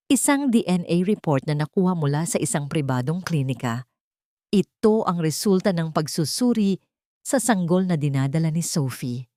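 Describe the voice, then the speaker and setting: A woman narrates a scripted love drama. Her voice is low-pitched and the recording is very dry and close, with a dramatic storytelling delivery. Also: a little mellow, no echo.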